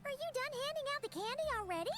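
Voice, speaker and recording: Very high, squeaky fairy companion. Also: female voice, expressive, very fast. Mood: chatty. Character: tiny chirpy childlike female fairy companion. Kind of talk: video game voice acting. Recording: game music behind the voice.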